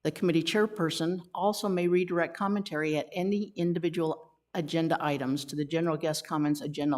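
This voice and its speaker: A woman with a slightly low voice chairs a community committee meeting. She reads formal procedures aloud in an even, businesslike way. She drops in teasing jokes for the room.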